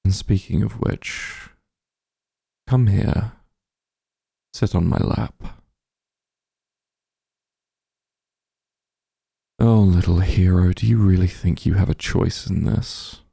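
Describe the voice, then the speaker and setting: A man playing a possessive, doting immortal demon lord in an intimate ASMR roleplay, teasing and smug, speaking softly in a gravelly, breathy voice. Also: low voice, fairly even intonation, no echo, clean studio sound, full character voice. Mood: teasing, commanding. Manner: slow, soft, teasing coaxing.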